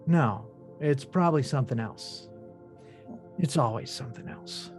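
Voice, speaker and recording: A man's voice: mid-range voice, lively intonation, measured pace, over music, natural voice. A man playing a cocky, self-important government agent in a screenplay table read. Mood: deflated.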